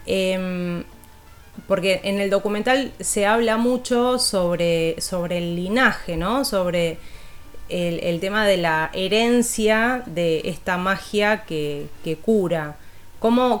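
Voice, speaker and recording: A woman with an Argentine accent interviews casually for radio, feeling her way through informal questions. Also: mid-range voice.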